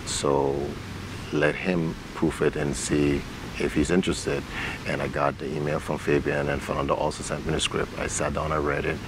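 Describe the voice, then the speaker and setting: A man with an extremely deep, creaky voice tells stories informally as an interview guest. His intonation stays fairly flat, and there is clear background noise. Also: normal speed. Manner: informal, colloquial, acts out quoted dialogue. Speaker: interview guest, stage actor and director. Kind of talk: conversational storytelling.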